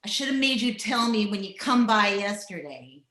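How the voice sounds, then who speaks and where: A woman's voice: medium pitch, expressive, normal speed, fairly bright tone, close to an everyday voice. A woman playing a mean, vengeful older rancher's wife in a radio western, with blunt rural speech. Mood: impatient, demanding. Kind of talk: old-time radio western acting. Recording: roomy, echoing home video-call recording.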